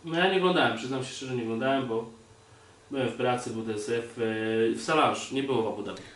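A man's voice: mid-range voice, fast, a bit bright. A man co-hosting a sports podcast, chatting casually and without a script. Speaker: podcast co-host. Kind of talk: casual unscripted sports chat. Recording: roomy echo, clear background noise.

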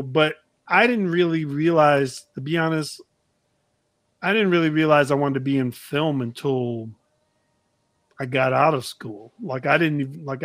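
Halting, higher-voiced male interview host. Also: no echo. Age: middle-aged. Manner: halting, roundabout phrasing. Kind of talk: casual chat. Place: indoor room, video call.

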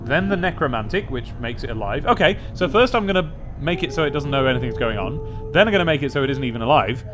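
A young man giving a gaming commentary, thinking aloud and reading game text in a playfully expressive way, close to the mic. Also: mid-range voice, fast talker. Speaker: let's play host. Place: small indoor room at a desk. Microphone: close studio mic on an arm. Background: game music.

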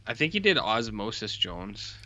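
A man's voice: on the low side, very animated, normal speed, sharp tone, lo-fi sound. A man co-hosting a podcast, chatting casually and teasing playfully. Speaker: podcast co-host. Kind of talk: casual banter.

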